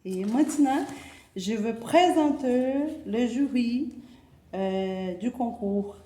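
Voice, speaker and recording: A Brazilian woman speaking French slowly and deliberately, with a mellow, dark tone. Her Portuguese accent is clear, and she pauses on hesitations between phrases. The sound is echoey and roomy. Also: fairly high pitch. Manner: measured, with frequent hesitations. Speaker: event presenter, teacher. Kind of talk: formal opening speech and poetry reading.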